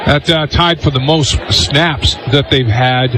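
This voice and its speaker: A man with an American accent giving off-the-cuff football analysis as a radio color commentator, halting and repeating himself. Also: mid-range voice, steady tone. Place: stadium radio booth. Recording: noisy stadium crowd behind.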